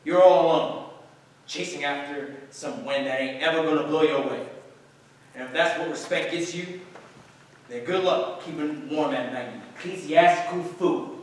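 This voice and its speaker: A man's voice: on the high side, moderate pace, slight background noise, lightly acted. A man with an American accent playing a brash, mocking, worldly actor in staged theatrical dialogue. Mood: mocking, heated. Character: brash, mocking, worldly male actor taunting his friend. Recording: echoey hall, distant microphone.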